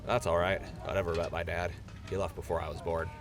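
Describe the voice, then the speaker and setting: A man playing a shy, low-voiced young soldier. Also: little pitch movement, quick pace, lightly acted. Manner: shy, hesitant, earnest. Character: nervous young male infantry soldier. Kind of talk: audio drama acting.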